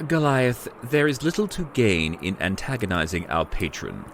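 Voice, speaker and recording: An actor playing a solemn, protective companion who speaks formally and gravely in a fantasy audio drama. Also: male voice, fairly low pitch, sing-song, moderate pace, fairly bright tone, slight background noise. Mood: stern, admonishing.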